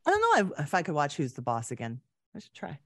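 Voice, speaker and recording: A woman's voice: deep voice, sing-song, fast. A woman with a Canadian accent hosting a podcast, chatting casually and conversationally with a guest. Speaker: podcast host. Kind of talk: casual interview chat.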